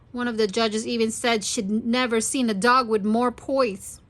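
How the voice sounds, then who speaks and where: A woman reading a story aloud expressively for young children. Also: medium pitch. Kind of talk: children's read-aloud narration.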